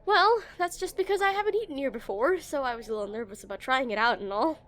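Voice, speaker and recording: A high-pitched female voice , acted by a woman, plays an awkward game developer smitten with their date. The delivery is nervous and hesitant, full of stammers, in an intimate romantic audio roleplay addressed to the listener. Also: animated, quick pace, fairly bright tone. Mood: nervous, flustered.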